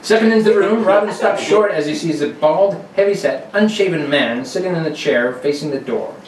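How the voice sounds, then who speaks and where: A man's voice: on the high side, brisk. A man narrating a radio serial in a theatrical 1940s announcer style. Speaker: radio drama narrator and actor. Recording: echoey noisy room, distant mic.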